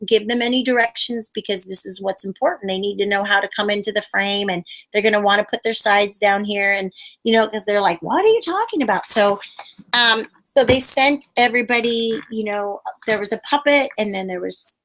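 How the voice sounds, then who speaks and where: Bubbly, animated woman puppeteer. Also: brisk, slight background noise, low-quality recording. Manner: bubbly, animated, acts out quotes in high voices. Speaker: puppeteer, interview guest. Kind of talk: casual interview storytelling.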